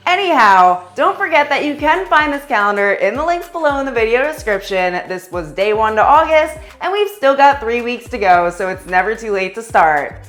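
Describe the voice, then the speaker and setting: A woman fitness instructor giving calm step-by-step exercise cues, her voice slightly hoarse from singing at a concert. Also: on the high side, normal speed, few pauses, faint music behind. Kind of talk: guided workout cueing.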